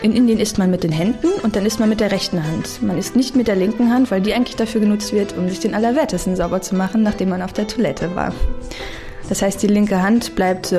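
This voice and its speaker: A woman who has traveled, explaining foreign customs to children in a plain, chatty way. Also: medium pitch.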